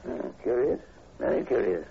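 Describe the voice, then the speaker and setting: An actor playing an inquisitive university professor in a 1940s radio horror drama, measured and probing, with hesitant, deliberate phrasing. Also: male voice, mid-range voice, lively intonation, vocal fry, breathy edge, full character voice. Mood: probing, thoughtful. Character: inquisitive male university professor investigating eerie killings. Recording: muffled, hissy old radio recording.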